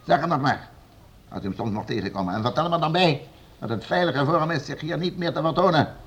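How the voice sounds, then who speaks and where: A man's voice: fairly high pitch, animated, fast, fairly bright tone, husky, exaggerated voice. An actor playing a commanding, scornful nobleman in a radio play. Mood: scornful, threatening. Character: stern, domineering male nobleman, bullying and sure of himself.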